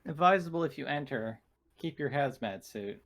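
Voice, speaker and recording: A man playing a tabletop roleplaying game, speaking in character with formal, measured phrasing. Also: medium pitch, animated, a bit bright.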